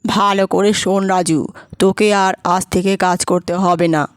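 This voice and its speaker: A woman's voice: fairly low pitch, flat delivery, moderate pace, no echo, clean studio sound, close to an everyday voice. Curt, stern cartoon farm boss voiced by a woman. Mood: stern, dismissive. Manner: curt, commanding. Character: stern, dismissive farm boss sacking a worker. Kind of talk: cartoon dubbing. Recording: music behind the voice.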